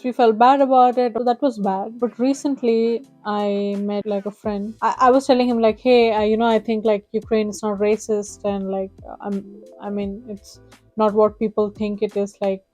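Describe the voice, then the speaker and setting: A woman's voice: medium pitch, flat delivery, normal speed, mellow, muffled recording, soft background music. A young woman whose first language is Hindi tells her own stories in a chatty, casual way, with many fillers and acted-out quotes. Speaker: vlogger. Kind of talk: casual storytelling to camera.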